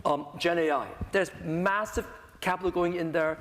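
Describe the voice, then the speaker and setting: A man's voice: on the high side, steady tone, normal speed, fairly bright tone. A man speaking as a panelist in an unscripted discussion, conversational and often checking in with short tag questions. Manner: conversational, peppered with "right?" tags. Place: conference panel hall. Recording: echoey hall with some noise.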